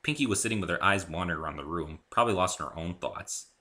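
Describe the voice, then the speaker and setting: A man narrates fiction in an amateur dramatic reading. His voice sits a little low but has a very bright, forceful edge, and his intonation moves around in a lively way. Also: quick pace. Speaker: amateur reader of own fan fiction.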